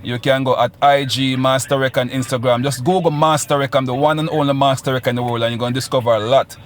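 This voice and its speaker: A man's voice: medium pitch, fairly even intonation, fast talker. A man with a Jamaican accent, a guest musician chatting in a radio interview, boastful and rambling with patois touches.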